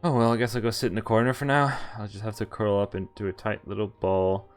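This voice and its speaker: A man with a fairly low voice streams a visual novel, reading its narration aloud. His delivery is expressive but informal, open to offhand remarks. Faint music plays behind him. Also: fast talker. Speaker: game streamer. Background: game music.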